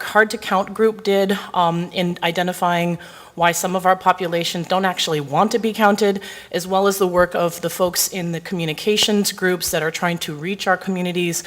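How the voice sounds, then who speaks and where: Earnest woman committee member, low voice. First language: Hawaiian. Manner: earnest, urgent advocacy with long winding sentences. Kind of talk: question at a public meeting. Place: meeting room.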